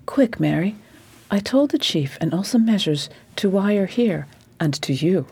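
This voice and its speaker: A woman's voice: mid-range voice, animated, measured pace, dark tone, no room sound, full character voice. An actress playing a shrewd, stern lady detective with a formal, commanding manner in a period radio mystery. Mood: triumphant. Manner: crisp commands, unsentimental, formal.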